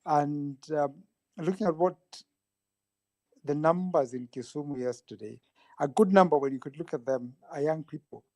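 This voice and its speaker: A man joining a political panel as a guest, speaking thoughtfully with pauses. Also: a little high, mellow, no echo, poor audio. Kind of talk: discussion of politics.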